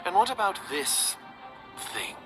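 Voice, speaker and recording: A man's voice: sits high, big pitch swings, measured pace, a bit bright, exaggerated voice. A man playing a suspicious, businesslike agent in a video game, curt, formal and scornful. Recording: background music.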